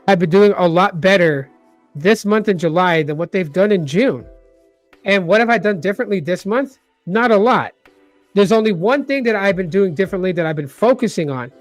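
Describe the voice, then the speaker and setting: Man with a light, high voice. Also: dry recording. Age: 40s. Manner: rambling, repeats words, frequent fillers. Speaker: tech channel host. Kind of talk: casual vlog talk.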